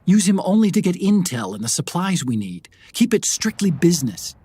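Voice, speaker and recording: A man playing an earnest, analytical adviser giving a briefing in a video game, articulate and measured. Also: sits high, big pitch swings, mellow, husky, no echo, heavily acted. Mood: cautious, persuasive. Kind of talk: video game cutscene acting.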